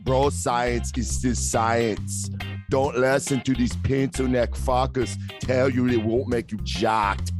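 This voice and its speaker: A man's voice: medium pitch, fairly even intonation, slow pace, lightly acted. A man playing a dim, cocky gym-bro radio host with swaggering, repetitive bro slang in a parody show. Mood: cocky, pushy. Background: guitar music.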